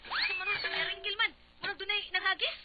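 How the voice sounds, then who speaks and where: A loyal but exasperated Cebuano wife in a comic radio drama. Her voice is high and expressive with a scolding edge, on a dull, lo-fi recording. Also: brisk. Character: exasperated, loyal wife of a small-town candidate.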